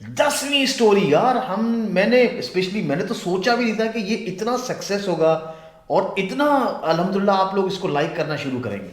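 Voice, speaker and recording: A male host chats in a roomy, echoing space. His voice sits a little high, and his talk is slangy, teasing banter. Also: animated, normal speed. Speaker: vlog and podcast host. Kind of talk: casual chat, bantering co-host.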